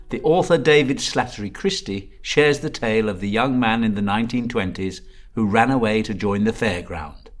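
A man co-hosting a podcast, delivering scripted trailer narration. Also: lowish voice. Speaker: podcast host and drama director.

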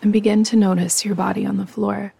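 Breathy woman guiding meditation, gravelly creak. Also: medium pitch. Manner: calm, soothing, unhurried instruction. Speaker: meditation guide and host. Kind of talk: guided yoga nidra meditation.

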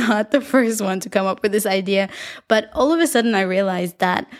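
A young woman with an Israeli accent, hosting her own podcast and telling listeners about a lesson from her own life in a reflective, upbeat voice. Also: mid-range voice. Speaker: podcast host; dancer. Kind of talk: solo podcast monologue.

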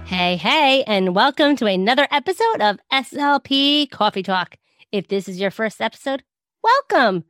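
A woman's voice: on the high side, expressive, normal speed, a bit bright, no room sound, soft background music. A woman hosting a podcast who works as a speech-language pathologist, reading a scripted intro and then chatting in a welcoming way with her guest. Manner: upbeat, welcoming.

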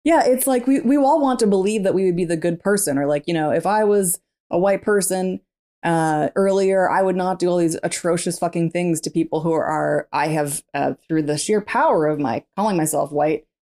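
Low gravelly woman chatting casually. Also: normal speed. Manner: laughs often, animated and candid. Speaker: podcast co-host; former teacher. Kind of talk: casual book discussion.

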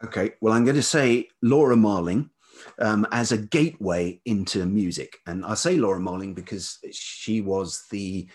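A man with a low, slightly rough-edged voice talks as a guest in a casual, reflective interview. He is thoughtful and halting, often restarting as he searches for the right word. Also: darkish tone. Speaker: interview guest, writer and musician.

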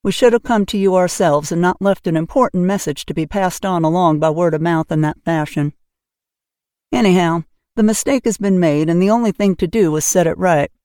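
A woman voicing a stern, practical middle-aged spinster who talks in a brisk, plain, matter-of-fact way. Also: on the low side, warm tone, no room sound, clean studio sound, natural voice.

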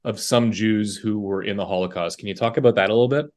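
A man's voice: on the low side, fast talker, a little mellow. A man hosting an interview, putting questions to guests in a conversational way. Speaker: interviewer, host.

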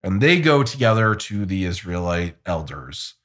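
A man's voice: deep voice, big pitch swings, normal speed, fairly bright tone. A man casually retelling a Bible story in his own loose paraphrase, with jokey asides. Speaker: podcast co-host.

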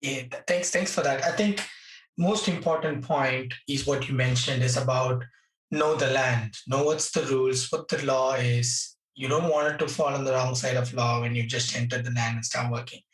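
A male interviewer talks in a roomy, echoing space, some way from the microphone. His mid-pitched voice is conversational and halting, repeating phrases for emphasis. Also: steady tone, fairly bright tone. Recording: roomy echo, distant from mic.

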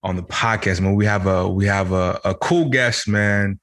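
A young man with a fairly low voice, hosting a casual podcast. His talk is loose and slangy, and he rambles through fillers and restarts. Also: normal speed, a bit bright, a little hiss or hum. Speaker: podcast host. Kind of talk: casual chat.

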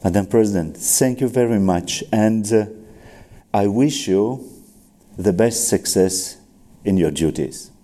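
A man interviewing, speaking slowly in a formal, polite way. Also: fairly low pitch, warm tone. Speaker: interviewer, court president.

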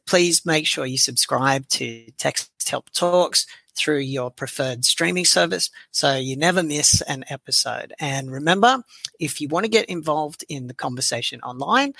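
Gravelly, bright-toned podcast host man. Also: fairly high pitch, dry recording. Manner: friendly, with frequent ums and restarts. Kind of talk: podcast interview hosting.

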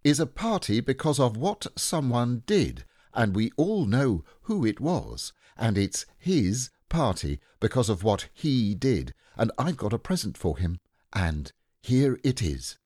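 A man voicing an earnest, excited young boy with an eager, announcing manner. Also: medium pitch, unhurried, dry recording, studio quality, voice pushed up.

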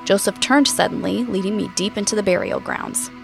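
A woman playing a thoughtful, inquisitive podcast investigator, narrating an audio drama in a measured, reflective way. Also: medium pitch, vocal fry, soft background music, close to an everyday voice.

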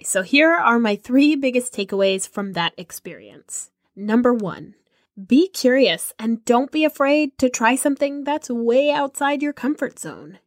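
A woman's voice: a little high, dry recording, clean studio sound. A young woman hosting a podcast, telling a personal story in an emphatic way and calling listeners "y'all". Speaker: podcast host and musician. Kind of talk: reflective podcast monologue.

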